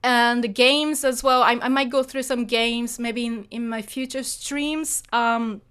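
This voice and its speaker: Hesitant Japanese-accented woman, clear voice. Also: a little high, fairly even intonation, slow pace, a bit bright. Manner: hesitant, lots of fillers and restarts, apologetic. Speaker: Japanese teacher hosting a live Q&A. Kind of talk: live stream Q&A, answering chat.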